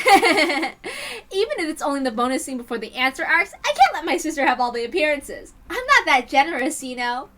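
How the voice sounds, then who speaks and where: A woman's voice: high voice, sing-song, moderate pace, pushed and bright, heavily acted. A woman voicing a scheming, gloating young twin sister from an anime visual novel, taunting with theatrical mock politeness. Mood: smug. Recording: music behind the voice.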